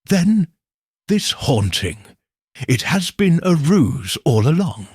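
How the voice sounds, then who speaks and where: A man voicing a frightened aristocratic gentleman who speaks in a strained, hesitant way. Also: on the high side, very animated, slow, slightly rough, dry recording, studio quality, pitched above the performer's own voice, exaggerated voice. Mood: alarmed.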